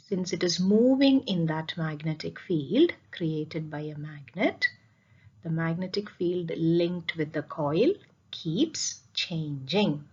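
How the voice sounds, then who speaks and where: Woman physics teacher, lowish pitch, explanatory with rhetorical questions and repetition, slightly muffled lo-fi sound. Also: husky. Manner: explanatory, repeats key points, asks rhetorical questions. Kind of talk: spoken classroom lesson over slides.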